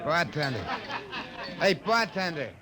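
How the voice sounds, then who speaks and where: An actor playing a weary, sardonic maintenance chief in a 1950s radio drama, grumbling out wisecracks. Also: male voice, a little high, sing-song, a little slow, loud music behind the voice, a loud crowd. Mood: weary, irritated. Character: wry, weary, exasperated maintenance crew chief. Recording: noisy old radio broadcast recording.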